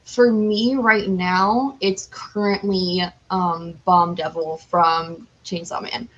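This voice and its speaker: A woman's voice: fairly low pitch, fairly even intonation, slow pace, fairly bright tone, highs cut off. A woman chatting casually as an interview guest, with a candid, self-deprecating and talkative manner. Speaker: podcast guest, cosplayer.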